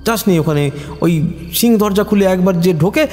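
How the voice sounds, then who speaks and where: An actor playing a rustic young husband who tells village ghost tales in a nervous, halting, folksy way. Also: male voice, sits high, dark tone, close to an everyday voice. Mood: hushed, uneasy storytelling. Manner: hesitant, halting, folksy storytelling with fillers. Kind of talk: Bengali audio horror story acting. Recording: echoey reverb with music underneath.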